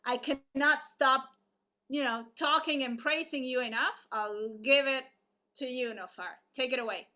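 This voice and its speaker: A woman hosting an online arts event over a video call, introducing a guest in a halting way with many fillers and restarts. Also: sits high, bright, forceful tone.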